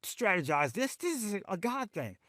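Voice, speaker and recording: A man with a slightly high voice talks in a podcast conversation about veterans' causes, in a dry, close recording. He tells stories with emphatic, animated drive and often speaks of faith. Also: normal speed, dry recording. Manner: rising pitch when excited. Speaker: veteran advocate guest.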